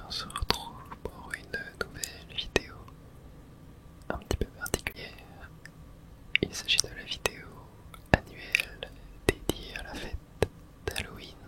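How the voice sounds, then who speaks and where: A person whispering softly and gently, with no voice in it, close to the microphone, as the host of an ASMR game playthrough. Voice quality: soft breathy unvoiced whisper. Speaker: video game streamer and host.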